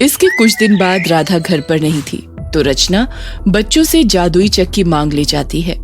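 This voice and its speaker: Slow-paced woman storyteller over music. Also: on the low side, big pitch swings. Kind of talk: scripted children's story narration. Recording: music bed under narration.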